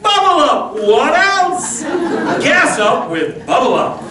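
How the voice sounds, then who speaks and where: A woman's voice: sits high, very animated, slow pace, a crowd in the background, heavily acted. A woman playing a confident, gallant space-pilot hero in an old-time radio serial. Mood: eager, confident. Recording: echoey hall, distant mic, clear noise.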